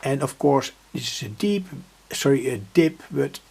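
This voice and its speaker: Male hobbyist demonstrator, creaky low-key delivery, slow and halting, explaining a bench setup off the cuff. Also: lively intonation, darkish tone. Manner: halting, searching for words, explanatory. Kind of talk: unscripted workbench demonstration vlog. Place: indoor hobby workbench.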